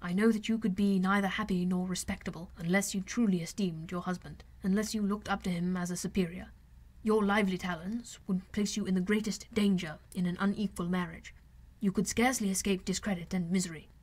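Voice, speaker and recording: A wry older gentleman father from a classic novel audiobook, played by a woman. The delivery is measured, dry and formal, with a fond, advising temper. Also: medium pitch, fairly even intonation, close to an everyday voice. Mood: grave, tender. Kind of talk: audiobook character voice.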